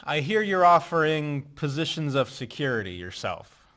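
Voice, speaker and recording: A man playing a dry-witted drifter in a radio play, with deadpan delivery. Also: on the high side, normal speed.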